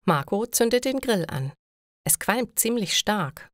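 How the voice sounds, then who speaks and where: Woman, story narrator for language learners, medium pitch, deliberate read-aloud delivery, dry recording. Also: sing-song, no room sound. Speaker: narrator of German learning stories.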